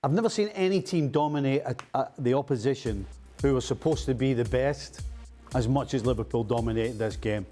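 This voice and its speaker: A man with a mellow, dark-toned voice gives emphatic football analysis on a studio panel. He piles up words for effect, and faint music plays underneath. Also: mid-range voice, fairly quick. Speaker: football pundit.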